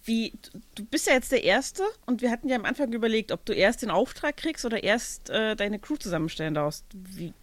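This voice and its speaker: Female co-host for an informal podcast chat, voice pitched a little high. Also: lively intonation, a little fast, dry recording. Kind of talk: casual chat.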